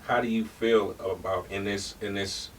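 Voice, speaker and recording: A man co-hosting a podcast, relaxed and appreciative as he asks questions. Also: fairly low pitch, moderate pace. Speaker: podcast co-host. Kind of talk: casual podcast chat. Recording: distant mic with ambient noise.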